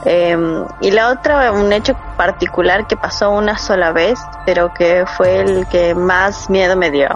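A woman's voice: fairly low pitch, fairly even intonation, unhurried, few pauses, muffled recording, soft background music. A woman in her thirties from Ecuador, hesitantly telling her story as a guest, with many fillers. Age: 30s. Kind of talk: casual remote interview.

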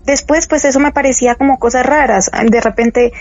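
A woman's voice: high voice, brisk, highs cut off. Young woman telling a spooky story. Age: young adult. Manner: animated, hesitant, many fillers. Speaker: guest telling a true story. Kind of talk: casual storytelling.

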